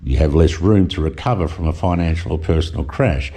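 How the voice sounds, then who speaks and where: A man's voice: very deep voice, a little fast, mellow, slightly rough. A middle-aged man reading a reflective voice-over with wry asides. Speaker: vlogger narrator.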